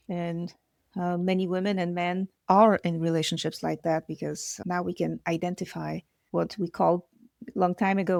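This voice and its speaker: A woman psychologist from Poland, speaking English with a Polish accent as a guest, giving earnest, measured explanations. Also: fairly low pitch, gravelly, darkish tone, no echo, studio quality. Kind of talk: interview answers.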